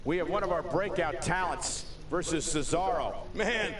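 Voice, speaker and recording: A man's voice: on the high side, moderate pace, a bit bright, close to an everyday voice. A man playing a television wrestling commentator in a video game, speaking in broadcast sports style. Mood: upbeat. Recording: echoey, distant game audio with noise.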